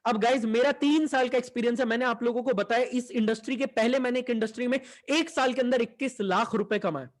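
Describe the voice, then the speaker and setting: A young man, giving an enthusiastic sales pitch for an online business and mixing Hindi with English. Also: fast talker, hardly any pauses. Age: 20s. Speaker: online business team leader.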